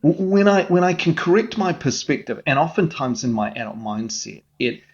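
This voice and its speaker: A man's voice: a little high, normal speed, dull audio. A man speaking as a mental health guest in an interview, explaining with an earnest coaching manner and frequent restarts.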